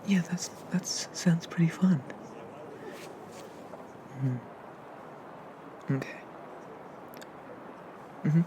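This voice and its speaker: A performer playing a friendly, bashful monster barista in a soft, hesitant, gently chatty whispered ASMR roleplay. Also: mid-range voice, extremely slow, pauses often, noisy background. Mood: kind, helpful. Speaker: coffee shop barista.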